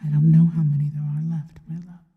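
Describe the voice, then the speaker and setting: A woman voicing a seductive, possessive yet devoted female spider monster in an ASMR roleplay, mostly in a slow, breathy, teasing whisper. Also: low-pitched, flat delivery, phone-quality sound, natural voice. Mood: tender, menacing. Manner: slow, teasing, intimate delivery. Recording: long reverberant tail on the voice.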